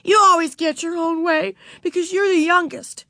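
A woman's voice: on the high side, fairly even intonation, moderate pace, no echo, clean studio sound, voice pushed up, close to an everyday voice. A woman voicing an exasperated, earnest schoolgirl, the older sister in a storybook. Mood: indignant.

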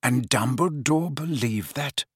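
A man's voice: mid-range voice, animated, moderate pace, dry recording, clean studio sound, natural voice. A man voicing a grave grown wizard in an audiobook, speaking in a firm, somewhat harsh manner. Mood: incredulous.

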